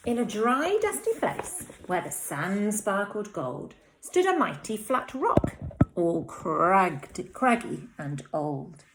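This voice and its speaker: A woman with an English accent, a teacher speaking and reading to young children in an expressive, dramatic storytelling way. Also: mid-range voice, slow, noisy background. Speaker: infant school teacher. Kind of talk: reading a picture book aloud to young children.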